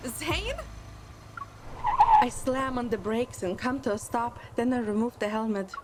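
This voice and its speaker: A woman's voice: a little high, a little slow, heavy background noise, lightly acted. A woman voicing a curious, earnest young female hunter recruit, narrating a game story in first person. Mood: composed.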